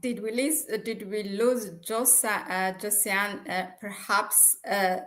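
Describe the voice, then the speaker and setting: A woman hosting a discussion interview, putting questions in a halting, roundabout way. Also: medium pitch, fairly bright tone. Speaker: interview host.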